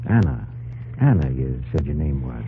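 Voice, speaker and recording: An actor playing a kindly, plainspoken frontier army scout in an old-time radio drama, folksy and reassuring. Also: male voice, a bass voice, sing-song, normal speed, vocal fry, a little hiss or hum, exaggerated voice. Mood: gentle. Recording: muffled old radio recording, music behind.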